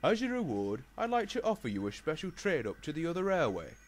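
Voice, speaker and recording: A man's voice: a little high, huge pitch swings, brisk, voice pushed up. A man voicing a formal, authoritative railway controller who gives orders in a children's storybook. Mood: approving. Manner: formal, commanding. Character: brisk, authoritative male railway controller, fatherly boss.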